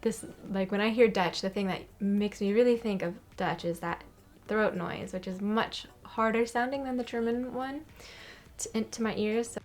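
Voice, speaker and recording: A woman's voice: mid-range voice, normal speed. A young American woman chatting casually to camera, repeating foreign words to practise them and laughing at her own tries. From United States. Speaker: vlogger.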